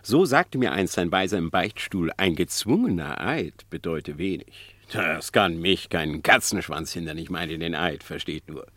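An actor playing a cunning male fox, a smooth-talking trickster, in a classic verse radio play. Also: sits low, animated, normal speed, few pauses, a bit bright. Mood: reassuring. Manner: wheedling, persuasive, theatrical verse delivery.

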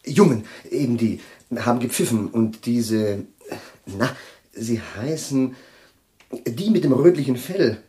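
A man's voice: mid-range voice, animated, slow pace, mellow. A man playing an absent-minded, flustered chemistry professor in a radio play, hesitating and searching for words with polite nervousness. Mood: rambling. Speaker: professor Jefrosimow. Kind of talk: 1980s radio play acting.

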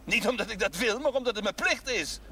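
An actor playing a principled, indignant village doctor in a television drama, speaking formally and emphatically. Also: male voice, sits high, animated, a little fast, pushed and bright, full character voice. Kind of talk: 1970s television drama acting. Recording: faint noise on old TV audio.

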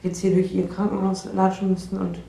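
A woman playing an insecure, defensive out-of-work actress in a naturalistic radio drama, speaking haltingly and trailing off. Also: medium pitch, monotone, creaky, dark tone, roomy sound, a little hiss or hum, close to an everyday voice, low-quality recording. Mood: uncomfortable, defensive.

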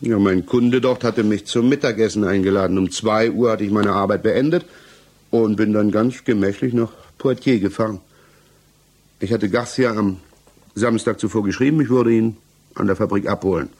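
Low, husky, defensive male suspect played by an actor. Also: normal speed, darkish tone, natural voice. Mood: uneasy, defensive. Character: defensive, troubled male suspect under interrogation. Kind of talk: German 1960s radio play acting.

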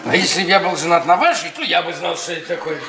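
A man's voice: on the high side, quick pace, background noise, lightly acted. An actor playing a bitter, mocking middle-aged history professor in a radio play, rambling and repeating his words sardonically. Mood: mocking, playful. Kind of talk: theatrical Soviet radio play acting. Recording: roomy, distant 1980s radio play recording.

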